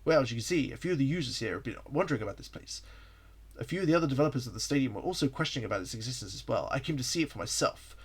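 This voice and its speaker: A curious echidna journalist, played by a man reading a fan story. The manner is polite and inquisitive, with lively pitch movement and a husky tone. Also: racing.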